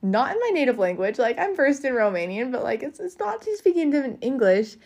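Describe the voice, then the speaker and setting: A young woman co-hosting a podcast, telling travel stories in a chatty, expressive way. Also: sits high, brisk. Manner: animated storyteller, chatty and expressive. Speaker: podcast co-host. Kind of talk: casual chat.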